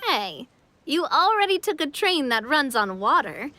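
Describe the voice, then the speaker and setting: A woman's voice: high voice, big pitch swings, a little slow, sharp tone, dry recording, full character voice. A woman voicing a cheerful, friendly young storybook king in a game. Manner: upbeat, friendly, reassuring. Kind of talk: game voice acting.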